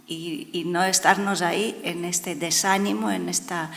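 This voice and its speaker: A woman's voice: on the low side, fairly even intonation, a little slow, vocal fry, a bit bright. A woman from Brazil speaking Spanish with a Brazilian Portuguese accent, giving an earnest, emphatic talk at an education conference and repeating words for stress. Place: large conference hall. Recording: long hall reverb.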